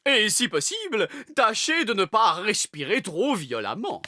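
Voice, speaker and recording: A woman's voice: medium pitch, huge pitch swings, unhurried, sharp tone, a little hiss or hum, full character voice. A woman voicing a prim, condescending and fussy lady in a children's cartoon. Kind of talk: animated children's cartoon dubbing.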